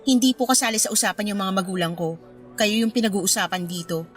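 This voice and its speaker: A woman's voice: medium pitch, fast talker, natural voice. A woman playing a curt, resentful young college student in a radio drama, with cold, blunt politeness. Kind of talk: radio drama acting in Filipino. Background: music bed.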